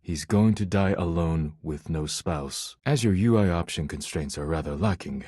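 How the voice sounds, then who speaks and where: A deep, husky, solemn poet. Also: male voice, darkish tone, dry recording, studio quality. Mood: dry reciting. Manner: formal, measured, solemn, avoids contractions. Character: formal, earnest, proud male poetry-loving dish character. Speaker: voice actor in a dating simulator game. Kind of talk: scripted video game character dialogue.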